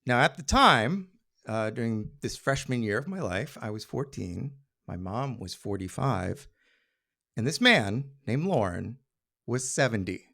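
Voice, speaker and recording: A man's voice: medium pitch, expressive, a little slow, gravelly, pushed and bright. A middle-aged American man telling a personal story live to an audience in a wry, conversational way, slipping into quoted dialogue. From Maryland, United States.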